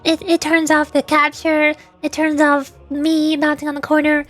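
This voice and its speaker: A chatty, playful woman talks to her viewers while she plays a game, in a high, bubbly voice. She speaks into a desk microphone, and faint music plays underneath. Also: monotone, normal speed, fairly bright tone. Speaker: VTuber streamer. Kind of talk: casual live-stream gameplay chat.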